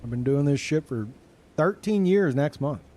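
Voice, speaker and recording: A man's voice: on the high side, animated, moderate pace, darkish tone, a little hiss or hum. A man in his thirties casually chatting and swearing as he reads out chat messages while streaming a game.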